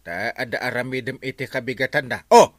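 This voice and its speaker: An actor with an Ilocano accent playing a flustered, stammering village captain in a radio comedy drama. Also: male voice, mid-range voice, brisk, no room sound, clean studio sound, lightly acted. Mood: questioning, exasperated. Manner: stammering, whiny, exclaiming. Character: flustered, excitable village captain, comic middle-aged man.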